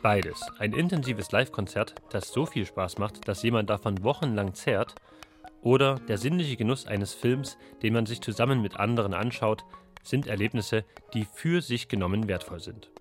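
A low-pitched man narrates a radio culture feature from a studio. He reads a script in a reflective, questioning way. A clear music bed plays under his voice throughout. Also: moderate pace. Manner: reflective, poses rhetorical questions.

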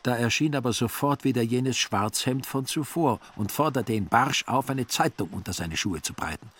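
A man with a husky voice narrating a scripted literary story for a radio play. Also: mid-range voice, moderate pace, fairly bright tone.

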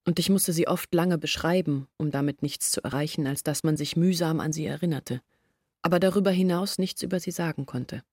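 An actress playing an earnest woman who confesses her family's failures in a reflective, measured monologue from a literary radio play. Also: low-pitched, a little mellow, husky, no echo, clean studio sound.

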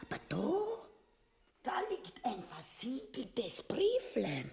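A creaky, scornful Viennese tyrant's wife. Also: female voice, medium pitch, wildly swooping pitch, slow, exaggerated voice. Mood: sly. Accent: Viennese Austrian. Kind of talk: grotesque comic stage farce acting.

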